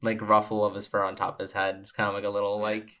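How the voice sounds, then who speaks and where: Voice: low-pitched, monotone, fast, pushed and bright. A player in a tabletop roleplaying game, talking in a chatty, easygoing way with many fillers.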